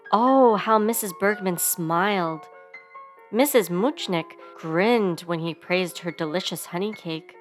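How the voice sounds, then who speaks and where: Expressive woman reading a storybook over music. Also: medium pitch. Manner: theatrical emphasis on sound-effect words. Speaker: storybook reader. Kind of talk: expressive scripted children's read-aloud. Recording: music playing behind the voice. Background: instrumental music.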